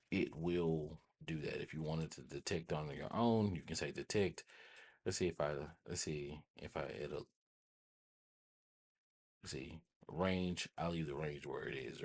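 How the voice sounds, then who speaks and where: A man hosting a casual software tutorial, thinking aloud informally and often repeating his phrases. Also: a bass voice, slightly rough. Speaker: tutorial host.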